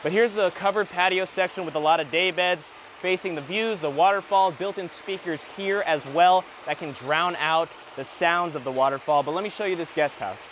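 A man's voice: sits high, normal speed, fairly bright tone, slight background noise. A man hosting a casual walk-through property tour as a real estate agent, speaking in an enthusiastic, informal, salesy way.

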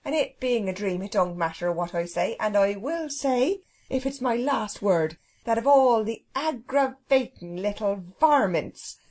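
A woman's voice: medium pitch, expressive, measured pace, few pauses, darkish tone. A woman voicing a flustered, indignant working-class woman cook who exclaims and scolds in homely speech for a storybook. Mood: stubborn, scolding.

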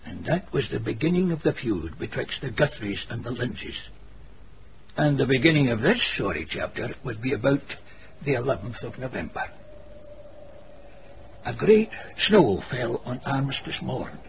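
An actor playing a venerable, learned Scottish cobbler in a radio drama, telling gossipy tales in a wordy, formal dialect. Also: male voice, mid-range voice, vocal fry. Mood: dramatic storytelling. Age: older. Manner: wordy, dialect-rich, pedantic storytelling. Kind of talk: radio drama dialogue, storytelling. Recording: muffled radio broadcast, background hiss.

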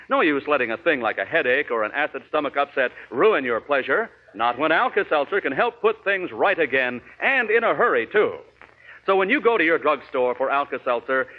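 An American man reading a scripted commercial as a 1940s radio announcer. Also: on the high side. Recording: tinny narrow-band 1940s radio recording.

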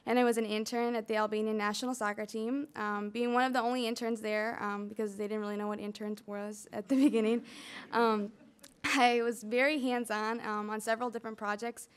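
A woman's voice: fairly high pitch, level tone, fairly quick, smooth. A woman in her early twenties from the United States, giving a prepared student speech at a podium with casual asides. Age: early 20s. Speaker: student speaker. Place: lecture hall, at a podium.

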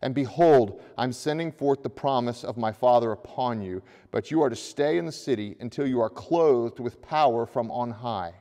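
A middle-aged man preaching a sermon from a church pulpit, reading scripture and rising in pitch with energy as he presses his points. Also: moderate pace, few pauses. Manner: animated preaching with raised hands, voice climbing when stressing a point. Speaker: pastor. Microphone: far camera. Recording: long church reverb.

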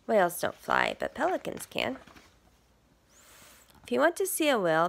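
A woman reading a picture book aloud to young children like a teacher, expressively, asking questions and dropping into hushed, breathy asides. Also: medium pitch.

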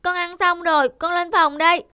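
A woman's voice: very high-pitched, steady tone, normal speed, pushed and bright, slight background noise. A woman voicing a plaintive, childlike little boy in a cartoon. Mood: sulky. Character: spoiled, whiny little boy softening into remorse. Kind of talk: cartoon voice acting.

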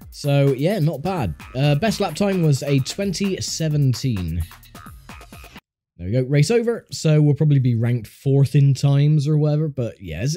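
A man's voice: a little high, dark tone. A man with a congested, stuffy voice giving dry, wry commentary on a racing game he is playing. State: congested from a sinus infection. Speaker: gaming YouTuber. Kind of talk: casual gameplay commentary. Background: game music.

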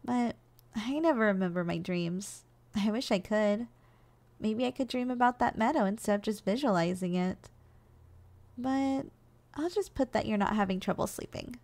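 A woman playing a gentle, doting giantess secretary in an ASMR role-play, coaxing and nurturing in manner. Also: medium pitch, normal speed, lightly acted. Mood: calm, soothing. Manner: soft, coaxing, nurturing, teasingly affectionate. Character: gentle, doting giantess secretary caring for her shrunken boss.

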